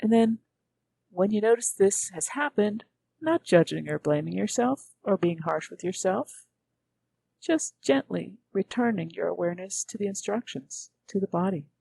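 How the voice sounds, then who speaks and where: Woman meditation teacher, medium pitch, bit husky, gentle and measured w pauses, guided practice. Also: expressive, rough recording.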